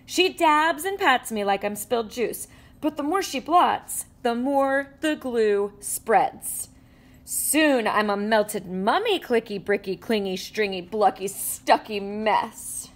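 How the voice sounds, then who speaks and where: A woman reading a children's picture book aloud with animated storytelling and playful sound effects. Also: on the high side, takes it slowly. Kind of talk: expressive read-aloud. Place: indoors at a table with a book.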